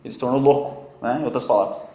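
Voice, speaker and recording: A man with a strong non-native accent teaching a Bible study class, quizzing and teasing his students in a lively, joking way. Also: medium pitch, quick pace. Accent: strong non-native accent in Portuguese. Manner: jokey, quizzes and teases students. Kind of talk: interactive classroom teaching with questions to the group. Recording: echoey room.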